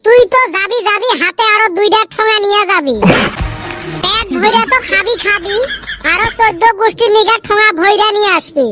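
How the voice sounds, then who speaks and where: A woman's voice: very high-pitched, fairly quick. A woman voicing a cheeky, blunt village child who teases and bosses friends around in a comic cartoon. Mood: mocking. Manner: mocking, bossy. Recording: music bed under the voice.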